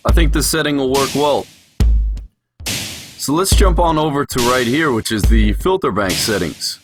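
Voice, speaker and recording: A man's voice: medium pitch, animated, normal speed. A man giving an explanatory voice-over tutorial as a music production instructor.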